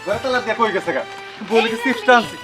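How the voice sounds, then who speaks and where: A man playing a put-upon husband who argues back and then pleads. He talks fast over clear background music. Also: very high-pitched, sharp tone. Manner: protesting, pleading. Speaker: husband in a comic short drama. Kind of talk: comic village drama acting.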